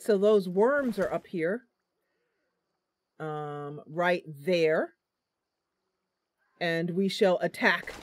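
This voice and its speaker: A woman hosting a casual let's-play, thinking aloud through the game with frequent ums and talking directly to her viewers. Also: lowish voice. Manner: playful, addresses viewers directly. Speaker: gaming channel host.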